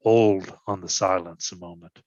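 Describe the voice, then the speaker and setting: A man's voice: deep voice, steady tone, moderate pace, a little mellow, no room sound, lo-fi sound. A man reading scripted stage directions as narration in a readers theatre performance.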